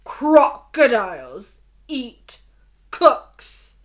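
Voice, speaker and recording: A woman voicing a fierce male crocodile in a storybook, with loud, emphatic declarations. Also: on the high side, sing-song, drawn-out words, full character voice. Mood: menacing. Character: fierce male crocodile demanding his dinner.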